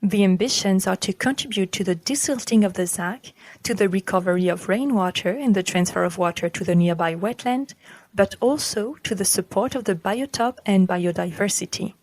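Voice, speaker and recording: A woman narrates from a script as a podcast presenter. Her pitch sits in the middle range and her delivery is formal and measured. The sound is dry and close, with very little room. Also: a little mellow, dry recording, poor audio. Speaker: podcast presenter reading a text.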